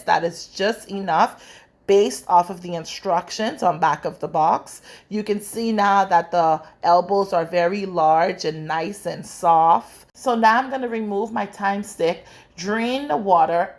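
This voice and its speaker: A woman's voice: mid-range voice, a little slow. A woman from the Bahamas with a Bahamian accent, hosting a home cooking tutorial in her kitchen and chatting directly to her viewers. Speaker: cooking channel host.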